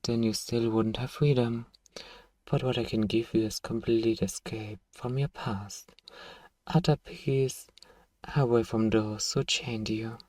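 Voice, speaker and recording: A man acts out a powerful male vampire in an ASMR roleplay. He speaks slowly in a dark, mellow tone, suave and condescending, coaxing and commanding by turns. The voice sits a little away from the mic, with very little room sound. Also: mid-range voice, no echo, distant mic. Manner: theatrical, commanding, softly menacing, condescending. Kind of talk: ASMR roleplay, scripted hypnotic monologue.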